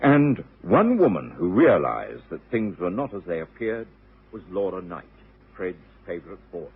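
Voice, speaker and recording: Deep, gravelly British gentleman storyteller. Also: sing-song, normal speed, exaggerated voice. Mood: confiding. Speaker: narrator and supporting lead. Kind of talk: dramatic radio narration. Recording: narrow-band old radio recording, faint music.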